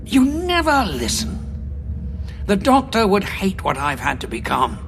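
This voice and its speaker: An actor playing a grim, weary time-travelling war veteran who speaks in a cold, measured, self-assured way. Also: male voice, high-pitched, wildly swooping pitch, fairly bright tone, a little hiss or hum, exaggerated voice. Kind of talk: audio drama acting.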